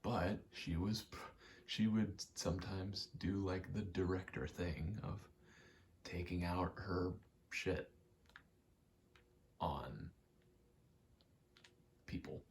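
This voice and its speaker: A young man giving a soft-spoken, halting, reflective ASMR ramble, telling personal stories in a hushed voice close to the mic. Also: sits low, gravelly, a little hiss or hum. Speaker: ASMR creator.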